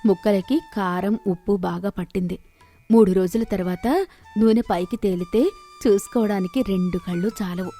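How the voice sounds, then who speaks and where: A woman voicing an affectionate, contented elderly village grandmother in a moral story, doting and gently instructive. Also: medium pitch, expressive, moderate pace, soft background music. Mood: pleased, instructive. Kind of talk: animated moral story voice acting.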